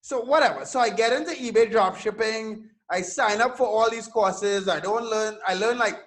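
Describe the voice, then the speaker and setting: Man, 30s, from Trinidad and Tobago, high voice, animated guest, swears casually. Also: normal speed. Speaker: guest entrepreneur. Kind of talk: casual interview storytelling.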